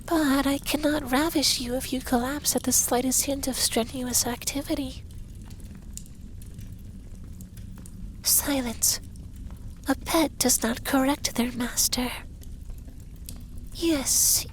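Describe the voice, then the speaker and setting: An effeminate young male dragon played by a man murmurs in a high, breathy voice at an unhurried pace. He is teasing and stern but doting, as in intimate ASMR roleplay. Noticeable ambient noise sits behind him. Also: fairly even intonation. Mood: stern, caring. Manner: soft, breathy, slow, teasing and commanding.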